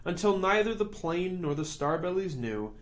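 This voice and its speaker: A man hosts a children's storytime in a slightly high voice. His pitch swings widely as he reads rhyming verse. The delivery is expressive and rhythmic, in a picture-book storytelling style. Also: moderate pace. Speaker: story reader and host.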